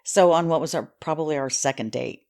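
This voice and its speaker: Woman, low voice, podcast guest, candid, emphatic, conversational, personal storytelling. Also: fairly quick, vocal fry, darkish tone.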